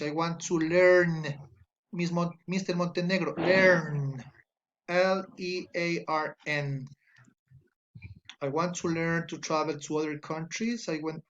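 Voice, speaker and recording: A man's voice: on the high side, fairly bright tone, dull audio. A man from Ecuador with an Ecuadorian Spanish accent, a native Spanish speaker, teaching an online English class, reading student answers aloud and patiently correcting them. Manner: slow, patient, corrects spelling and grammar aloud.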